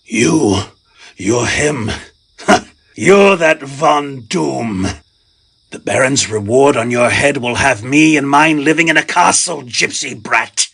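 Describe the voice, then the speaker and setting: A man voicing a brutish, greedy soldier in a comic dub, shouting and sneering aggressively. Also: medium pitch, takes it slowly, vocal fry, husky, voice pushed up, full character voice. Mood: menacing, gloating. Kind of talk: comic dub character acting.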